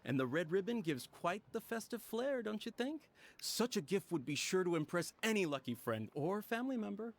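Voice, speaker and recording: A man's voice: sits high, sing-song, normal speed, full character voice. A man playing a slick, eager merchant pushing deals in a video game, with music behind him. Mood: eager, persuasive. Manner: eager salesman patter with upsells. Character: slick smooth-talking male tea merchant pushing deals. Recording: music under the voice.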